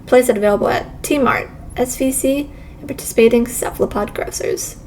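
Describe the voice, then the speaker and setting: A brisk commercial announcer from a visual novel voiced by a woman delivers a scripted sales pitch. The voice sits fairly high. There is slight noise in the background. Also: a little mellow, natural voice. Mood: salesy.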